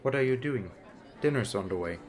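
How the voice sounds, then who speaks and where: A man's voice: medium pitch, lively intonation, a little fast, lightly acted. Man playing a weary father amid chatter. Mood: apologetic. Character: middle-aged father, weary, resigned, gently frank. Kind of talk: amateur audiobook drama acting. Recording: background crowd chatter.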